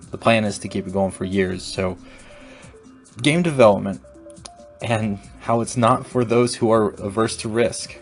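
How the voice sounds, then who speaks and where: A man hosting his own solo podcast, talking reflectively and rambling a little with restarts. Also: fairly low pitch. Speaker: podcast host.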